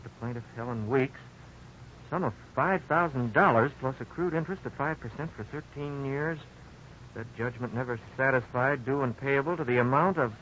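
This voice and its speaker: A man's voice: medium pitch, a bit bright, close to an everyday voice. An actor playing an earnest, boyish husband in his twenties in a 1950s radio drama. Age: 20s. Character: upbeat young husband and father, advertising salesman. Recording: muffled, noisy 1950s radio recording.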